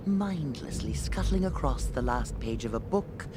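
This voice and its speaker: A woman's voice: extremely low pitch, lively intonation, measured pace, music in the background. An actress playing a haughty, menacing dragon in a noble lady's guise, imperious and contemptuous, in a fantasy game. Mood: cold contempt. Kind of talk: theatrical fantasy game voice acting.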